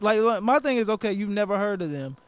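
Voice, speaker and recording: A man's voice: high voice, animated, fast, no echo. A man hosting a casual podcast chat, rambling, joking and swearing a lot. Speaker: podcast host.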